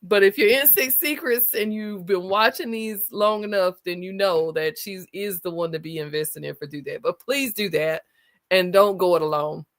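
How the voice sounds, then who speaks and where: A woman's voice: mid-range voice, moderate pace. A woman with a Southern accent from Kentucky and North Carolina, co-hosting a casual chat and talking straight to listeners. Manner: lively, addresses listeners as y'all.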